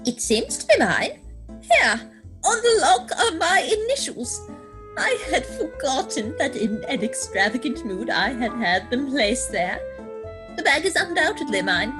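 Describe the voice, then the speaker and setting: A woman acts a prim, absent-minded governess in a stage comedy. The voice is high and very lively, with precise, fussy delivery. Music plays clearly behind. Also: unhurried. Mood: fussy delight. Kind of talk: stage comedy dramatic reading. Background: musical score.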